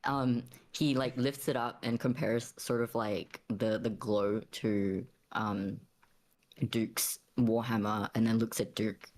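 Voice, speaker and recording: A man casually narrating his character's actions in a tabletop roleplaying game, pausing to think as he goes. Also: fairly low pitch, steady tone.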